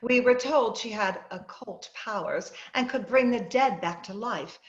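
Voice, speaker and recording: A woman's voice: a little high, unhurried, natural voice. A woman playing a talkative, bossy middle-aged suburban wife, insistent and chatty, in an old-time radio drama. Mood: confiding, chatty. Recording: muffled, roomy video-call audio.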